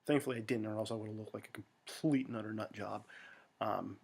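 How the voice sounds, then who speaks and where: A man's voice: on the low side, steady tone, fast talker, vocal fry, darkish tone, slightly rough. An American man talking to friends in a rambling, self-deprecating monologue full of fillers and restarts, recorded at home. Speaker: vlogger.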